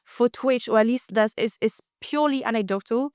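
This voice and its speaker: A woman's voice: a little high, fairly quick, darkish tone, clean tone, dry recording, clean studio sound. A woman co-hosting a casual podcast, chatting loosely with lots of fillers and restarts. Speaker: co-host.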